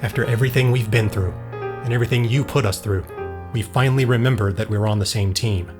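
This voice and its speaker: A man playing a steady, compassionate team leader in an audio drama, explaining things plainly. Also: fairly low pitch, fairly quick, over music, close to an everyday voice. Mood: firm. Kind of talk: scripted audio drama acting.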